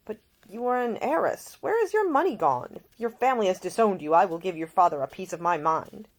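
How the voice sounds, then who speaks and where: A woman's voice: medium pitch, sing-song, brisk, pitched above the performer's own voice. A woman voicing an ailing, fiercely protective man in love, emotional and insistent, as audiobook character dialogue. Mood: concerned, indignant.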